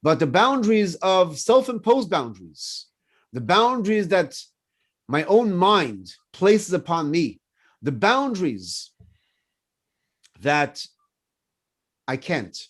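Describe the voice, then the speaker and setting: A man's voice: high voice, takes it slowly, long pauses. A man in his fifties whose first language is French, leading a morning class with personal stories and questions put to his listeners. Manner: animated teaching with personal stories and repeated questions. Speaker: rabbi.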